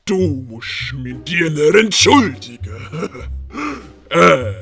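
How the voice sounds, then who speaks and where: A woman's voice: sits low, big pitch swings, slow, fairly bright tone, soft background music, voice pushed down, heavily acted. A woman voicing a grumpy old great-uncle who bosses his young relative around. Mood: gruff. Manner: bossy, barking orders.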